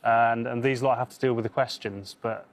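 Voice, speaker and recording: A man in his thirties, captain of a quiz team, chatting in a relaxed way with some fillers. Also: medium pitch, normal speed. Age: 30s. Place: television studio.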